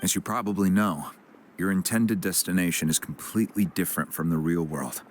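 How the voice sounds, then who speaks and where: A man playing a calm, helpful tribesman in a video game, explaining things in a measured, reassuring way. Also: deep voice, a little hiss or hum, lightly acted. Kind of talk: English video game voice acting. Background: music.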